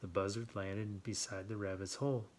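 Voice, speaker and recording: A man hosts a children's read-aloud in an expressive, playful way. He leans on words for emphasis and drops chatty asides into the narration. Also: on the low side, normal speed. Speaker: children's story reader and host.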